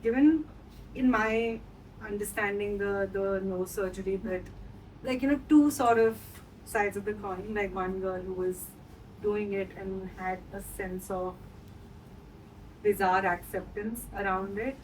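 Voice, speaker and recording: A woman's voice: mid-range voice, fairly even intonation, measured pace, a little mellow. Distant woman asking a question. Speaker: audience member asking questions. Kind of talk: hesitant, meandering spoken question. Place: screening venue, away from microphone. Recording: distant mic, faint room noise.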